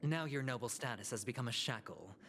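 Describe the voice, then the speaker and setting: An actor playing a sly, smug gambler in a video game, talking in a smooth, provocative and insinuating way. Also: male voice, mid-range voice, animated, brisk, fairly bright tone, slight background noise. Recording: game dialogue with music underneath.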